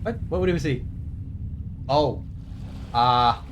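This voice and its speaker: Man, mid-pitched voice, casual game stream rambling, joking and irreverent, swears freely, faint noise behind. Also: slow, highs cut off. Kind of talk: casual rambling playthrough commentary.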